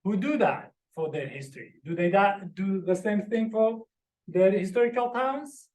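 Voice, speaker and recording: Guy lecturing from slides, high voice, earnest, stops to explain each word, echoey room. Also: normal speed, darkish tone. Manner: earnest, explains terms one by one. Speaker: visiting lecturer.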